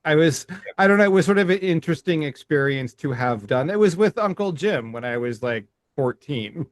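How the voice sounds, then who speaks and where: A middle-aged man co-hosting a casual podcast, speaking in halting phrases with many restarts and stammers. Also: a little high, expressive, dry recording. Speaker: podcast co-host. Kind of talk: casual chat.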